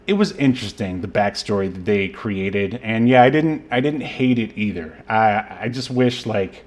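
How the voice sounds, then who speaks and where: Low-voiced soft-spoken man. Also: normal speed. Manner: soft-spoken, trails off into fillers. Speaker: podcast host. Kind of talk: casual chat reviewing a movie.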